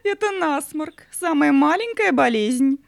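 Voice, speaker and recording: A woman playing the spirit of Night in an audio play, stately and condescending, with a theatrical, drawn-out delivery. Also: high-pitched, animated, gravelly, no room sound, full character voice. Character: Night, stately female spirit guarding her secrets, motherly yet cunning.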